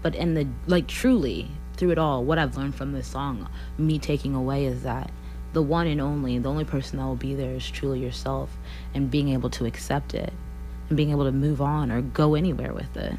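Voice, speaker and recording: Earnest young woman singer, low voice. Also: steady tone, brisk, warm tone, soft background music, low-quality recording. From Columbia, Missouri. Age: 20s. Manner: earnest, laughs often, many likes and you-knows. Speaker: singer-songwriter guest. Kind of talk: radio interview.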